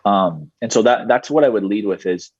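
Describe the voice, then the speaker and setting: Husky-voiced man in his twenties. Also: lowish voice, dry recording. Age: 20s. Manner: steady, explanatory, measured. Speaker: guest, digital marketing entrepreneur. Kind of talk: podcast interview answers. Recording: dull, muffled podcast audio.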